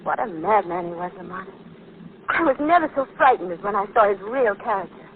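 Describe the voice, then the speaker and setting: A woman's voice: medium pitch, animated, brisk, close to an everyday voice. An earnest, dramatic young woman sleuth, played by an actress, brave yet alarmed. She speaks in the style of 1940s radio drama. The recording is narrow and tinny, with a faint hiss. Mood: curious, relieved.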